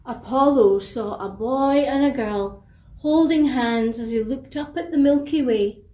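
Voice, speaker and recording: A woman tells a children's bedtime story in a fairly high voice. She goes very slowly and deliberately, with expressive phrasing and asides for young listeners. The room is noticeably echoey.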